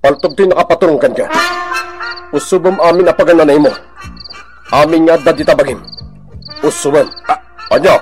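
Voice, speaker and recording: An actor in an Ilocano radio drama playing an excitable, grumbling man who exclaims often. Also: fairly high pitch, normal speed, long pauses, over music, natural voice. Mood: muttering, menacing. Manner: exclaiming, full of interjections.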